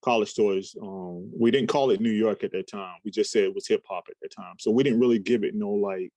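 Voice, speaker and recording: A man's voice: on the low side, a little fast, no echo. A man in his fifties, a talent manager, chatting casually in an interview and telling long, meandering stories full of fillers and restarts. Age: 50s. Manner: rambling, relaxed storytelling with many fillers and restarts.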